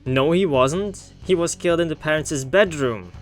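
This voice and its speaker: A man with a fairly high voice gives casual playthrough commentary. He reasons out loud and exclaims playfully, with light game music behind him. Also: moderate pace. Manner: thinks aloud, playful, exclaiming. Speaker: gaming video host.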